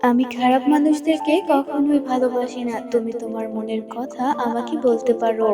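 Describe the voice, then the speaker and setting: Casting: woman as benevolent storybook fairy for kids' cartoon, high-pitched, reassuring, over music. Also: little pitch movement, a little fast, lightly acted. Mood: kind, reassuring. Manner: gentle, reassuring. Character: kind, soothing female tree fairy. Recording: music behind the voice.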